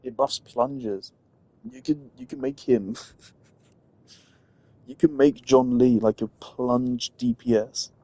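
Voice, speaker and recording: A man's voice: medium pitch, slow pace, vocal fry, long pauses, warm tone, low-quality recording. A man giving casual, rambling gaming commentary, thinking aloud as a streamer. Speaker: game streamer.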